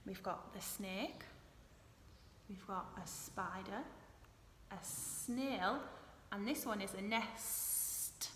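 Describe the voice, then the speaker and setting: A woman teacher reading a storybook and teaching phonics to young children, deliberate and instructional, often questioning. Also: medium pitch, fairly bright tone. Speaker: early years teacher. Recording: echoey hall-like room with noise.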